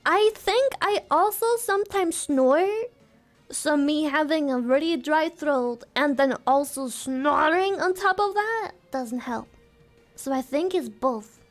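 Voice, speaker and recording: A woman's voice: sits high, slow, faint music behind. A woman streamer chatting casually with her viewers, giggly and playful, her throat sore and dry. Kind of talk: casual live-stream chat.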